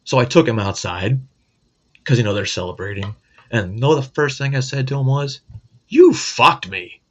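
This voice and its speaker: Gruff profane middle-aged man. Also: medium pitch, animated, normal speed, a bit bright, lo-fi sound. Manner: blunt, profane, joking. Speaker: host. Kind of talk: casual monologue.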